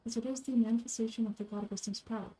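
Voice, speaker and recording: A woman's voice: fairly high pitch, fairly even intonation, rapid-fire, mellow, rough recording. A woman reading scripted video game dialogue aloud as an amateur voice-over performer.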